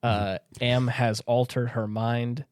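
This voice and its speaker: A man's voice: mid-range voice, level tone, slow pace, a little mellow, no echo. Emphatic man podcasting, dry close sound. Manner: emphatic, earnest, repeats himself for stress. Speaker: podcast host. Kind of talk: casual discussion of a story.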